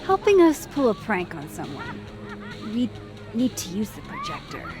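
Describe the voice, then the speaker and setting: A woman playing a wry young woman with a quiet mischievous streak in a video game, speaking in a dry, understated, teasing way. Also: high-pitched, huge pitch swings, normal speed, music in the background. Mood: friendly, probing. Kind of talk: naturalistic video game acting.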